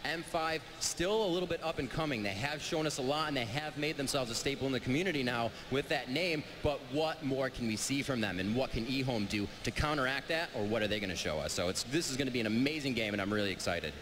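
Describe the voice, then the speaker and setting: A man's voice: mid-range voice, quick pace, few pauses. A man co-casting an esports match live, upbeat and building hype. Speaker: esports co-caster. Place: large event hall with live audience. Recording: noisy event hall.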